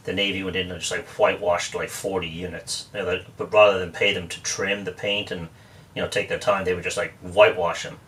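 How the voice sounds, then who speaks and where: A man's voice: low-pitched, little pitch movement, fairly quick, fairly bright tone, distant mic. A man in his forties with an Irish Dublin accent, a guest telling stories in a chatty, joking way with frequent restarts. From Ireland. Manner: chatty storyteller, wry jokes, frequent restarts. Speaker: guest, real estate expert. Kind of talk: casual interview chat.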